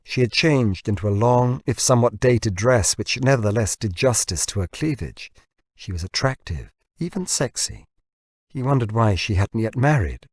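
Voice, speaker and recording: A man reading a novel aloud as scripted narration, in a measured and expressive storytelling manner. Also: mid-range voice, no echo, clean studio sound. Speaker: audiobook narrator.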